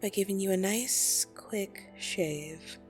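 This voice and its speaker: Woman acting as female dream demon, very unhurried, lowish voice, intimate ASMR roleplay, blunt, teasing, soothing, soft music behind. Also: natural voice. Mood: fond, matter-of-fact. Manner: slow, deliberate, intimate, gently condescending. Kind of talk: ASMR roleplay audio drama. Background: soft meditation music.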